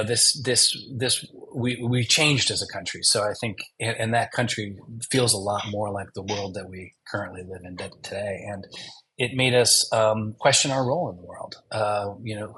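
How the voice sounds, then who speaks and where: Brief: low-pitched, bright-toned male director in his fifties, hesitant and full of restarts, faint noise behind. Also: low-quality recording. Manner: halting, many restarts and fillers. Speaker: documentary director. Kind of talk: podcast interview answers.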